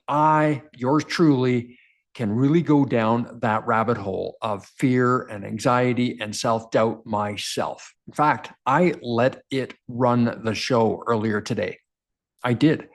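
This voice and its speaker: Earnest baritone man coaching to camera. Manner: earnest, direct, repeats phrases for emphasis. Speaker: men's coach and show host. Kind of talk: motivational self-help talk to camera.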